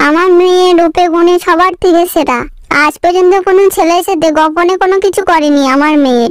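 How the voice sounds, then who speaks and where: A woman's voice: very high voice, steady tone, brisk. A woman voicing a proud, boastful parent of a bride in a comic cartoon. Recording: loud music behind the voice.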